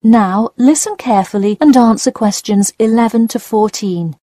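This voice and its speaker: A woman reading scripted listening test instructions in a formal, clearly paced manner. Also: on the high side, normal speed, warm tone, dry recording, clean studio sound.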